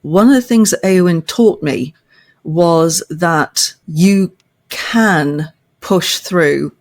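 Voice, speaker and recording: An older woman, a podcast guest, discussing literature thoughtfully with measured, emphatic phrasing and deliberate pauses. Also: on the low side, a little mellow. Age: older, past fifty.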